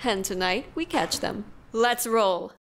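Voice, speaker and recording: A woman's voice: medium pitch, expressive, slow pace, very noisy. An actress playing a tough, curt veteran policewoman in an audio drama, terse and commanding. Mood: curt, businesslike.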